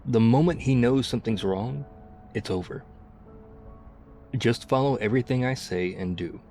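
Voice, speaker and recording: A man playing a battle-hardened, weary zombie apocalypse survivor in an intimate ASMR roleplay, guarded but quietly caring, speaking in a hushed, measured way. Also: medium pitch, soft background music, natural voice. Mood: terse, focused. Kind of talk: ASMR audio roleplay, intimate one-sided dialogue.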